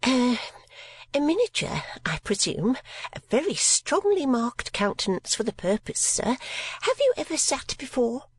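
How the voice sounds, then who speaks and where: Brief: woman reader doing a genteel, mincing landlady, fifties, high breathy voice. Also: huge pitch swings, measured pace, no room sound, heavily acted. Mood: prim. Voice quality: high and breathy, sometimes whispered. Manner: prim, affected, little delicate coughs.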